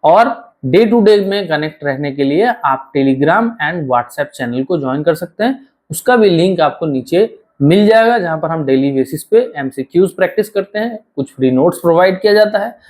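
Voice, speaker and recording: A man with a hoarse, sore throat, teaching an online exam-prep lecture and talking straight to his students, repeating key phrases slowly. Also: a little high, expressive, darkish tone. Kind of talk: online lecture with course promotion.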